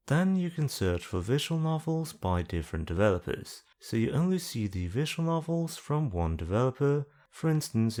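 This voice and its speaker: A man calmly explaining a website's search features as a voice-over for a screen-recorded tutorial, listing the options one by one. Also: on the low side. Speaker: video guide host. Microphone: voice-over over screen capture.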